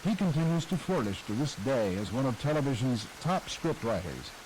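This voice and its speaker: A man giving scripted, measured narration about the history of radio. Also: mid-range voice, sing-song, noisy background. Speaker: documentary narrator.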